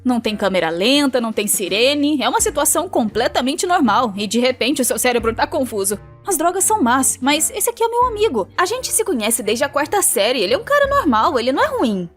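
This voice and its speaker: A Brazilian woman with a high-pitched voice narrates an animated video. Her delivery is very lively and swooping, casual and colloquial. Faint music plays behind her. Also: a little fast, hardly any pauses. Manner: colloquial, acts out quotes and dialogue.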